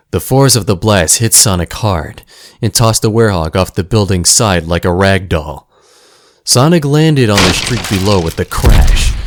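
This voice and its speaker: A man's voice: low voice, no room sound, clean studio sound. A man narrating an audio drama in a dramatic, scripted storytelling style.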